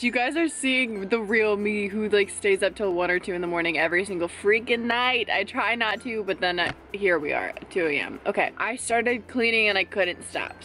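A woman's voice: medium pitch, fairly bright tone, slight background noise. Chatty young woman vlogging to camera. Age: young adult. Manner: chatty and bubbly, quick asides. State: stuffed up nose from allergies. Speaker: college student vlogger. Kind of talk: casual vlog chat to camera. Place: college dorm room, indoors. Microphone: camera held at arm's length.

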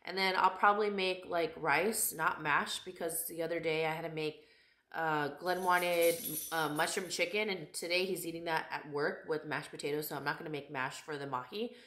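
A chatty woman in her thirties talks to a handheld camera in her kitchen, with a voice a little raspy from a cold. Her tone is bright and forward, and the room gives it a noticeable echo. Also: low voice, fairly even intonation, moderate pace. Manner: chatty, candid, laughs often. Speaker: vlogger. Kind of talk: casual vlog chat. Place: home kitchen and living room. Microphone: camera held at arm's length. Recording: echoey room.

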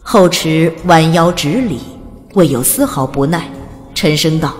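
Low-voiced woman narrating a fantasy audiobook. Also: measured pace. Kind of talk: dramatic scripted fantasy narration. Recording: long reverb on the voice. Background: faint music.

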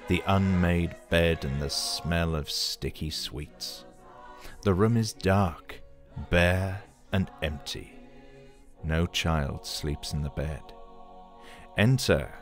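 An actor playing a solemn storyteller, narrating a comic audio pantomime in a measured, dramatic manner. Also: male voice, sits low. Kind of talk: scripted theatrical narration.

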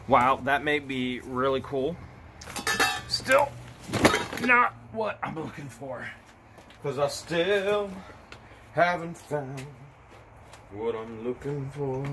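Excitable man in a noisy outdoor vlog. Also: medium pitch, slow pace, pauses often. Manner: excitable, talks to camera. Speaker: storage unit treasure hunter. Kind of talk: casual unboxing vlog. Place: outdoors at a storage unit. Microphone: handheld phone camera. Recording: noisy outdoor phone recording.

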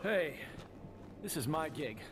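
A man's voice: fairly high pitch, lively intonation, takes it slowly. A man playing a middle-aged, laid-back demon hunter in a video game, swaggering and wisecracking. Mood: cool, dismissive. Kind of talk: video game cutscene voice acting. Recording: loud noise behind the voice.

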